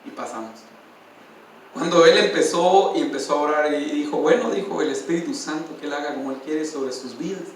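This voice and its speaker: A man's voice: fairly high pitch, brisk, slight background noise. A male pastor preaching a sermon and sharing a personal testimony with feeling, often calling out to his listeners as brother. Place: church. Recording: distant, echoey church hall.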